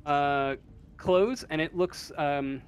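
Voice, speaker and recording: A man improvising narration as a tabletop game master, halting as he searches for words. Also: fairly high pitch, clean tone. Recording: faint room noise.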